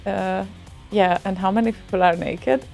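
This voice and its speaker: A Hungarian-accented woman co-hosting a relaxed radio chat, lively and exclaiming, quick to laugh. Her voice sits at a middle pitch for a woman, with faint music underneath. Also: gravelly. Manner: animated, exclaiming, laughs often. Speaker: radio co-host. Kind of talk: casual chat.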